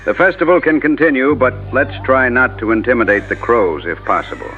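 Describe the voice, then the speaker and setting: A man's voice: mid-range voice, brisk, pushed and bright, lo-fi sound, close to an everyday voice. An actor playing a wary, understated male small-town sheriff in a radio drama. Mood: wary, dry. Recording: band-limited radio sound, faint music.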